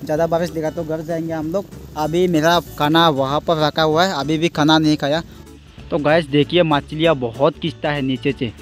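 A man's voice: a little high, fairly even intonation, moderate pace, faint music behind. A young man with a northeast Indian accent gives casual, rambling vlog commentary, explaining things to viewers and repeating himself, recorded outdoors on a phone. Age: young adult. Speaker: village fishing vlogger. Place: outdoors on a small wooden boat on a river. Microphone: phone at arm's length.

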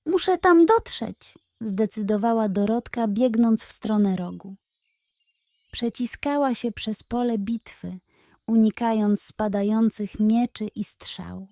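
A woman's voice: medium pitch, steady tone, a little slow, warm tone, no room sound. A woman narrating a scripted children's story as the storyteller.

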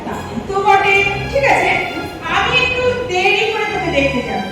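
A woman playing a chatty, teasing friend in a staged audio play, with expressive, bantering delivery. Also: extremely high pitch, normal speed. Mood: playfully mocking. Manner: bantering, playfully mocking, expressive. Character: teasing, chatty female friend joking about old age and death. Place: stage in a reverberant hall. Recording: echoey hall from far off, music behind.

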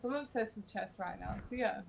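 A woman's voice: mid-range voice, brisk, creaky, slight background noise. Chatty Australian teen girl. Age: teens. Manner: friendly, chatty, hesitant with ums. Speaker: stranger on video chat. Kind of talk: casual video chat. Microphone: computer mic on video call.

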